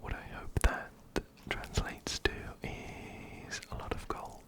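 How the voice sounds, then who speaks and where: Male ASMR gamer, whispered throughout, breathy and unhurried, ear-to-ear close miking, repetitive soothing phrasing. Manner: unhurried, repeats words and phrases. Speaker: ASMR gaming video host. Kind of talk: ASMR let's play commentary. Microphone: close-up binaural microphone, ear to ear.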